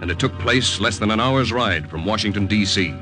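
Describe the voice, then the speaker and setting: A man's voice: on the low side, expressive, fairly quick, lightly acted. An actor playing a tense American wartime secret agent, narrating his mission with dramatic suspense in 1950s radio style. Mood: measured narrating. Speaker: actor in lead role, narrating in first person. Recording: old 1950 radio recording, music behind.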